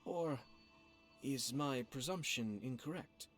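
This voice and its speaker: A man's voice: medium pitch, takes it slowly, a bit bright. A man playing a courteous, composed young lord in a video game, speaking formally and eloquently. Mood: composed, polite. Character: courteous composed young nobleman, head of a clan. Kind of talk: video game cutscene acting. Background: music.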